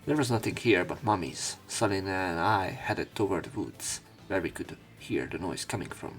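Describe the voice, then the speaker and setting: Low-voiced man narrating a visual novel. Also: lively intonation, moderate pace. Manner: irreverent, swearing offhand. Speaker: let's play commentator and narrator. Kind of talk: reading a visual novel aloud with casual commentary. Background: game music.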